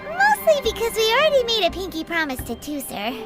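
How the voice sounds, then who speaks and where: A tiny, cheeky fairy sidekick in a video game dub. The voice is extremely high, squeaky and childlike, swinging excitably up and down. Music plays prominently underneath. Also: female voice, fairly quick, heavily acted. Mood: cheeky, scolding. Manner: childlike, refers to herself by name. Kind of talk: English game dub acting.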